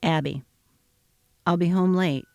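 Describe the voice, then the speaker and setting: A woman's voice: fairly even intonation, unhurried, gravelly, darkish tone, no echo, studio quality, faint music behind. Woman voicing a curt young husband. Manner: blunt, lowered voice.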